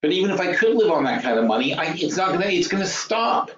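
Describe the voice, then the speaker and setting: A man's voice: high-pitched, big pitch swings, fast, fairly bright tone. A man of fifty-five giving an informal, explanatory financial lecture as a webinar host, blunt and emphatic, thinking aloud, repeating phrases and asking rhetorical questions. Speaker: webinar host and trading educator. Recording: roomy, dull lo-fi webinar audio.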